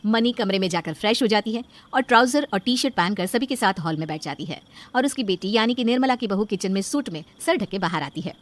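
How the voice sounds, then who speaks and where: A woman's voice: mid-range voice, expressive, fairly quick, faint music behind. A woman narrating a scripted story.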